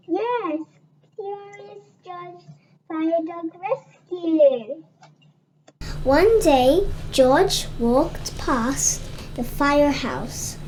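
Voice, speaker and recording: Slow, high-pitched child reading aloud. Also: a little mellow, slight background noise, poor audio. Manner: halting, with stumbles and repeated words. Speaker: read-aloud presenter. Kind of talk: storybook read-aloud.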